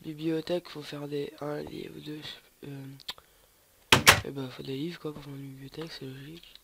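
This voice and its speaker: A man's voice: a little high, flat delivery, moderate pace, gravelly. A young man with a cold, rambling through casual gameplay commentary with lots of hesitations. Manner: rambling, hesitant, many fillers and restarts. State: stuffy with a cold. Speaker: gamer. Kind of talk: casual let's play commentary. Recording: loud background noise, home mic.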